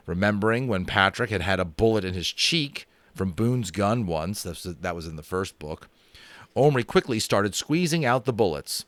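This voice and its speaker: A man narrating a children's fantasy book aloud in an expressive, dramatic style, with casual asides. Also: fairly low pitch, moderate pace, no room sound. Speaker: audiobook narrator.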